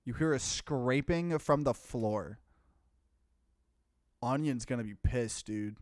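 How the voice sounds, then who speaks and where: A man's voice: mid-range voice, takes it slowly, no echo, studio quality. A man hosting a let's play, reading game narration aloud and adding his own commentary.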